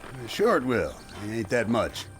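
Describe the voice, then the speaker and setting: A man acting a gruff, businesslike sheriff in a Western audio drama, giving curt, folksy commands. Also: medium pitch, huge pitch swings, normal speed, fairly bright tone, faint music behind. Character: gruff, businesslike frontier sheriff running a hanging.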